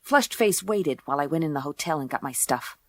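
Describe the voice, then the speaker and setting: A woman's voice: deep voice, animated, a little fast, no room sound. An actress playing a tough, wisecracking woman detective in a radio play, dry, blunt and slangy. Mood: dry narration. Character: tough, wisecracking woman gumshoe narrating her case. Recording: music under the voice.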